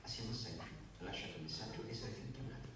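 An actor playing a law office answering machine, reciting a formulaic, exaggerated voicemail greeting. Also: male voice, on the low side, expressive, fast, recorded from a distance, over a noisy crowd, close to an everyday voice. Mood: formal, brisk. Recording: echoey room, loud audience noise.

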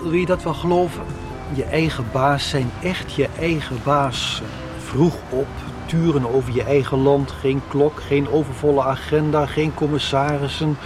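An actor in a radio soap playing an earnest, wistful middle-aged factory director from a farm family. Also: male voice, a little high, steady tone, normal speed, music in the background, close to an everyday voice.

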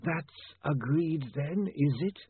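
Man voicing a slow, stiff undead lawyer, menacing. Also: a little high, slightly rough, no room sound, pitched above the performer's own voice, heavily acted. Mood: stiff menace. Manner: slow, drawn-out, formal delivery. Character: grey zombie lawyer, stiff, formal, quietly menacing male. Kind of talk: audiobook character voice. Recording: band-limited, phone-like sound.

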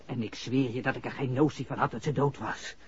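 A man's voice: medium pitch, steady tone, brisk, slight background noise, close to an everyday voice. An actor playing a nervous, shifty young man under police suspicion, stammering slangy lines in a 1960s radio drama. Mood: defensive, uneasy. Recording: muffled vintage radio recording.

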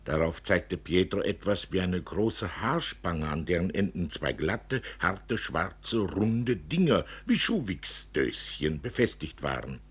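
A man reading a literary story as narrator, expressively, with dramatic and deliberate phrasing. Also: low-pitched, big pitch swings, breathy edge. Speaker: narrator, reader.

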